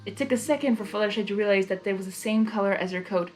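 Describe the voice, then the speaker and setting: A woman reading fan fiction aloud in an expressive, giggly way, narrating and reacting as she goes. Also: medium pitch, quick pace, faint music behind.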